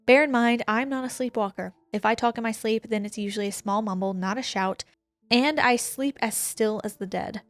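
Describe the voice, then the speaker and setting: A woman's voice: fairly high pitch, normal speed, no echo. Woman reading a spooky letter aloud. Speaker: podcast co-host. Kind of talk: reading a listener's ghost story aloud.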